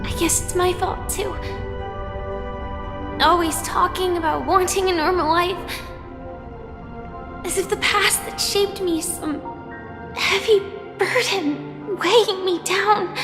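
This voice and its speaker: The slow-spoken, gentle young heroine of a fantasy game cutscene, standing her ground earnestly in a cavernous space as music swells. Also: very high voice, steady tone, heavily acted. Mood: calm, resolute. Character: gentle but resolute young woman warrior, quietly hopeful. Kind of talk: scripted dramatic game dialogue. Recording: long reverb under clear music.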